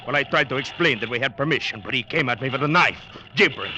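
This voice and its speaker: A blunt, indignant sailor from a 1940s-style radio play. His mid-pitched voice is emphatic and wary. The old recording carries clear noise. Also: animated, fast, sharp tone. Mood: angry, indignant. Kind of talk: 1940s-50s radio acting.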